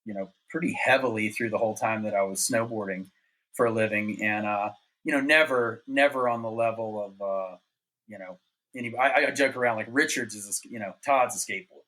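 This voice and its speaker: A man's voice: lowish voice, a little fast, sharp tone, poor audio. A middle-aged man from North Carolina, a podcast guest telling laid-back, rambling stories with frequent laughs. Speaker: podcast guest, former pro snowboarder. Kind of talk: casual storytelling.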